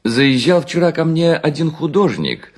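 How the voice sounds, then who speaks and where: A man's voice: fairly high pitch, sing-song, a little slow, pitched above the performer's own voice. An actor playing a worn-out balding seducer, suavely lecturing on his sly craft in a theatrical literary monologue, savoring words and humming between them. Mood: effusive flattery.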